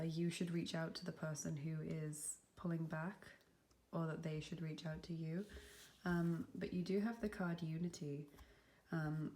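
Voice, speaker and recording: Soft-spoken woman with a cold. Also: fairly low pitch. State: has a cold, sniffly. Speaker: tarot reader. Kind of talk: pick-a-card tarot reading.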